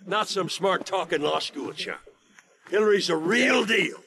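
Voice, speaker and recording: An actor playing a boastful, folksy gun-loving self-styled army veteran in a video game, with a cocky drawl. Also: male voice, high-pitched, lively intonation, measured pace, vocal fry, a crowd in the background, heavily acted. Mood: jovial. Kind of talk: video game cutscene acting. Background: music.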